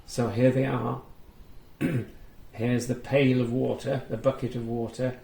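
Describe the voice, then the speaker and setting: A man reading a storybook aloud for young children, simply and with gentle guidance to the listener. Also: medium pitch, level tone, slow pace, gravelly, echoey room, rough recording.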